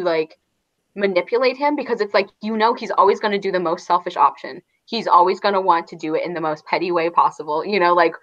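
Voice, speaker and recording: A woman's voice: fairly low pitch, fairly bright tone, dull audio. A woman in a casual, rambling book discussion, peppering her sentences with "like". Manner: rambling, frequent "like". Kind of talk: casual chat about books.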